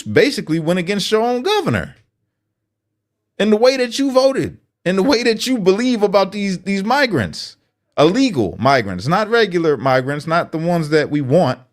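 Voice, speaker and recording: A man's voice: high voice, sing-song, moderate pace. A man hosting political commentary, speaking bluntly and sarcastically as if straight to the person he criticizes. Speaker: commentary host.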